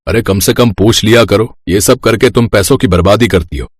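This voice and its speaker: A man's voice: lowish voice, normal speed, breathy edge, no echo, clean studio sound. A man playing an irritable, tight-fisted middle-aged shopkeeper husband in a family story, curt and scolding.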